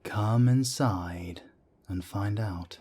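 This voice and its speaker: Man acting a deep, husky, unhurried werewolf elder. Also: warm tone, exaggerated voice. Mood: grave, instructive. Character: world-weary werewolf council elder, wry mentor. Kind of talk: audio roleplay drama acting.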